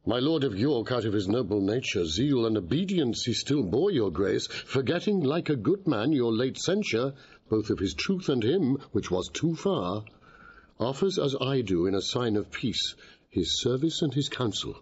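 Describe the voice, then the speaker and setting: A man's voice: mid-range voice, big pitch swings, moderate pace, a little mellow, slightly rough, dull audio. A man playing a deferential, diplomatic cardinal in a Shakespearean audio drama, with careful formal phrasing. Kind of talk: Shakespearean radio drama acting.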